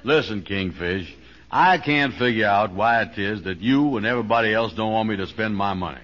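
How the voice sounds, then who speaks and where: Casting: male actor as puffed-up, naive comic braggart, lowish pitch, folksy 1940s radio style, muffled old recording. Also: moderate pace, fairly bright tone, slight background noise, lightly acted. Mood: stubborn. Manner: folksy, ungrammatical, puffed-up delivery. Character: boastful, naive, self-important grown man. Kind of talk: 1940s radio comedy acting. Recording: dull vintage radio recording.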